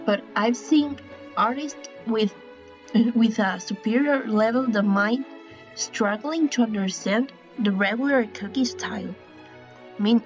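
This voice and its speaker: Hesitant woman with a Spanish accent. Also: on the high side. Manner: hesitant, many restarts and apologies. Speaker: artist explaining a drawing style. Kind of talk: casual drawing tutorial. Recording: dull lo-fi sound with faint music.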